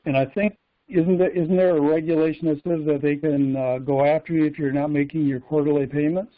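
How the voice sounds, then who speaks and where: Male caller, slightly high, halting and questioning, heard over a tinny phone line. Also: fairly even intonation. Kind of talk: casual conversation.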